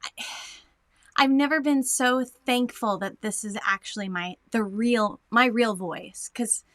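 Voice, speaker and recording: A woman with a high-pitched voice co-hosting a casual local morning show, bubbly and giggly with many fillers. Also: unhurried, fairly bright tone, dry recording, clean studio sound.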